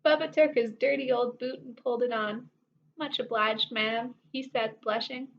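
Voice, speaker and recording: A woman's voice: high-pitched, moderate pace, creaky. A woman reading a children's story aloud expressively, with playful asides to her listeners. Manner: animated asides to young listeners. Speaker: storybook reader. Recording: dull sound with faint background noise.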